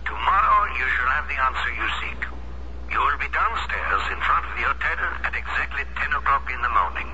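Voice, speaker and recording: An actor playing a persuasive, insistent former French Resistance fighter in a radio drama, speaking with theatrical, formal urgency. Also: male voice, medium pitch, big pitch swings, fairly quick, sharp tone. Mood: brisk, assured. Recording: dull noisy old radio broadcast recording.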